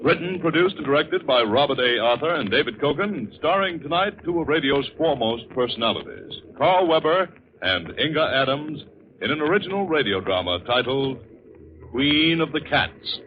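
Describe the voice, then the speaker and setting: A man's voice: fairly high pitch, lively intonation, normal speed. A man announcing a radio drama in a formal, theatrical 1940s broadcast style. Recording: muffled, noisy vintage radio recording.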